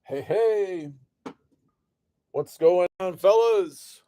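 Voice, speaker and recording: A man's voice: sits high, extremely slow, dark tone, no room sound. A man from Illinois chatting casually as host of a live sports card break, greeting viewers by name, his voice a little off. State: voice slightly off. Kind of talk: casual livestream chat.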